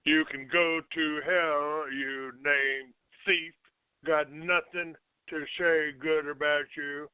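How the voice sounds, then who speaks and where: A man hosting a call-in show, ranting off the cuff in a halting, accusatory and combative manner. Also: a little high, flat delivery, smooth, no echo. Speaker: internet call-in show host. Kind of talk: unscripted talk show rant. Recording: narrow, tinny phone-like line.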